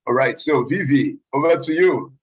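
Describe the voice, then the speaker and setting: A man's voice: fairly high pitch, normal speed, husky, low-quality recording. A man hosting an online panel discussion on a video call, encouraging speakers and keeping things moving. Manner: encouraging, wraps up speakers briefly. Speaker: host.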